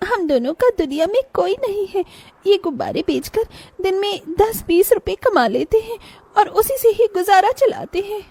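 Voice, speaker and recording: A woman's voice: very high-pitched, big pitch swings, moderate pace, hardly any pauses, darkish tone, pitched above the performer's own voice, exaggerated voice. A woman voicing a sweet, poor little orphan girl in a cartoon story, with a thin, plaintive, earnest voice. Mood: pleading, earnest. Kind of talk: cartoon bedtime story voice acting.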